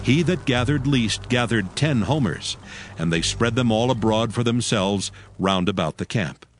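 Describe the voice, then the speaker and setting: A man narrating scripture from a script in a measured, solemn storytelling manner. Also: mid-range voice, expressive, slight background noise. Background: music.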